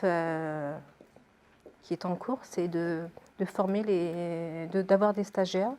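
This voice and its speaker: Plain-spoken woman, slightly low voice. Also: a little mellow. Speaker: medical secretary. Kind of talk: casual spoken interview. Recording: faint background noise, room ambience.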